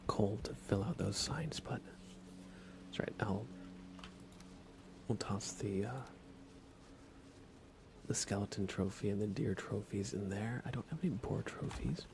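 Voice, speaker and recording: A man softly thinking aloud in an unhurried, whispered ASMR style as he narrates a video game livestream. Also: lowish voice, vocal fry, noisy background. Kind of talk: ASMR gaming commentary, whispered and soft-spoken.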